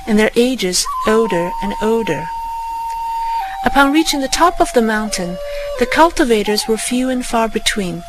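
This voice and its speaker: A woman's voice: fairly high pitch, noisy background. A woman reading a scripted first-person fairy tale as a storybook narrator. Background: music.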